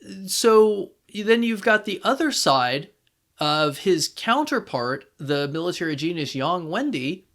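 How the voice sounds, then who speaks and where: A man co-hosting a podcast, talking casually as a fan and thinking aloud in a halting way. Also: sits high, very animated, fairly bright tone. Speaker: podcast co-host.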